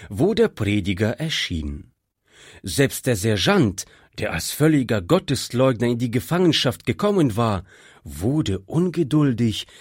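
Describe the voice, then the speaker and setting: Male audiobook narrator, slow and measured, husky, lively pitch, dry close mic. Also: dry recording. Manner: slow, measured, devout storytelling.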